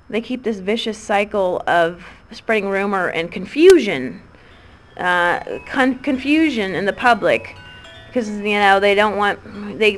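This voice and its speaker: A woman's voice: medium pitch, fairly bright tone. A woman in her thirties with a Midwestern American accent talks in a rambling monologue. She hesitates constantly, filling gaps with ums and restarting her sentences. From Midwestern United States. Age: 30s. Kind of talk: rambling recorded voice message.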